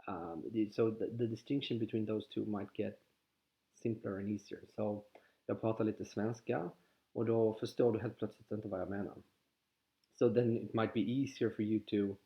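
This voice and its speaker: A man with a Swedish accent, whose first language is Swedish, calmly guiding listeners through a contemplative teaching, often pausing and restarting his sentences. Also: on the low side. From Sweden. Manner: calm, halting, with frequent restarts. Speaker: spiritual teacher. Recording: slightly dull lo-fi audio.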